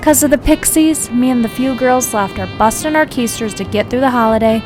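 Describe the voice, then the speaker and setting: An actress plays a weary streetwalking madam with a fairly high voice. She is flirtatious but tough, trading sardonic slang in film noir radio style. Music plays clearly behind her. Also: a little fast, close to an everyday voice. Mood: weary griping. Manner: slangy, sardonic, hard-boiled banter.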